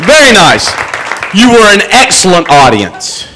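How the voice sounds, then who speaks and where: Man, club emcee, shouting, lively swooping pitch, loud crowd and music behind. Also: a little slow. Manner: shouting introductions over the crowd. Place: comedy club. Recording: far-off mic with music and crowd. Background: music and crowd noise.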